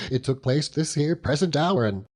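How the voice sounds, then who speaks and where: Hearty old country seaman, chuckling, overjoyed, lively pitch, unhurried audiobook character. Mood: jubilant. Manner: chuckling, rambling, dialect-heavy storytelling with exclamations. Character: hearty old working-class seaman uncle, doting and overjoyed.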